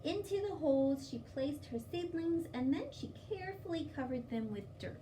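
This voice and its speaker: High-voiced, mellow woman leading children's storytime. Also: animated, normal speed. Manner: simple, instructive phrasing addressed to children. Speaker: children's storytime host at a library. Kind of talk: storytime read-aloud and explaining to young children. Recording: distant mic, faint background noise.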